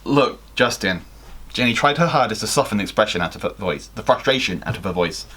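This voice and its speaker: Lively, bright-voiced young man reading aloud. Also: fairly low pitch, fast talker, breathy edge. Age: young adult. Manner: theatrical, acts out the dialogue. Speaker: guest reader of listener fan fiction. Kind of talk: animated story reading. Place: small attic bedroom, indoors.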